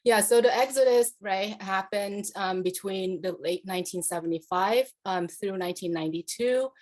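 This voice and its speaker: A Vietnam-born woman lecturer giving an academic panel talk over a video call, measured and full of "um" fillers. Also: medium pitch, a little mellow.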